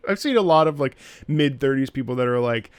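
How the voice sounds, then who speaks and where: A man's voice: mid-range voice, lively intonation, a little fast, clean tone, no room sound. A man in his thirties giving casual reaction commentary in a musing, thoughtful way that often trails off. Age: 30s. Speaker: reactor and host, opera singer.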